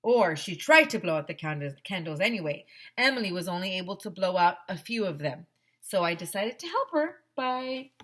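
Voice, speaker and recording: A middle-aged woman with a fairly low voice reads a children's story aloud. She is expressive and deliberate, drawing out words for emphasis like a teacher addressing her class. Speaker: teacher reading aloud to pupils. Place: small decorated home classroom, indoors. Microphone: headset microphone.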